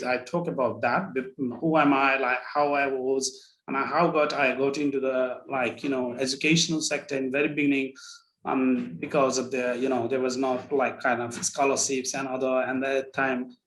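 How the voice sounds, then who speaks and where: A man in his thirties with a Nepali accent talks over a video call, and his voice bounces around a roomy, echoey space. He speaks at a middling pitch, stops often, restarts, and threads "like, you know" through his sentences as he works out what to say. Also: flat delivery, normal speed, fairly bright tone. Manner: hesitant, heavy on fillers like "like, you know". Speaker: workshop participant. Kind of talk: sharing a personal story. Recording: roomy echo.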